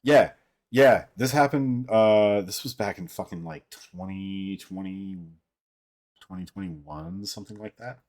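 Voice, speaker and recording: A young man casually chatting with livestream viewers in a deep, fairly monotone voice, rambling with filler words and frequent swearing, his voice a little strained. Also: measured pace. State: voice strained from an old throat injury. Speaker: livestream host.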